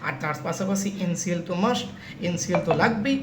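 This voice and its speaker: Fast-talking, insistent young host in an echoey room. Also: medium pitch. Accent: Bengali. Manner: insistent, repeatedly checking with "okay?". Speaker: YouTube host giving exam counselling updates. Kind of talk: casual direct address to students. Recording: echoey room with clear background noise.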